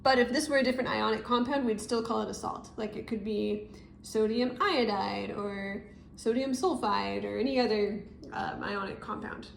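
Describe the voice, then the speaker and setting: A woman's voice: fairly high pitch, fairly even intonation, moderate pace, vocal fry. A woman teacher giving a casual, explanatory step-by-step tutorial, recorded indoors at a desk. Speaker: chemistry teacher. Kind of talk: informal step-by-step tutorial narration. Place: indoor room, at a desk over paper. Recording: roomy echo with noticeable background noise.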